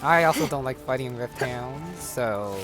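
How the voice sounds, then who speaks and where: Man, medium pitch, casual gameplay commentary, low-key, thinking aloud, loud game music behind. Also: expressive, a little slow. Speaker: gamer commenting on his playthrough. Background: game music, combat crashes.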